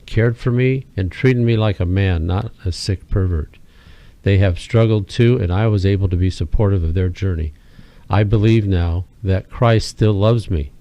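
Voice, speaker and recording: Low-voiced male co-host, mellow and steady; dry studio read. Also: no echo. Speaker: radio co-host. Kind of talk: reading a listener letter, radio talk.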